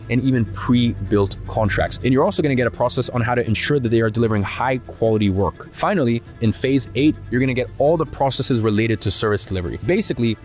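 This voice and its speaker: Fast, emphatic man, scripted course pitch, faint music under. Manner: fast, emphatic, listing benefits. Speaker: course creator and agency owner. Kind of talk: scripted sales pitch narration.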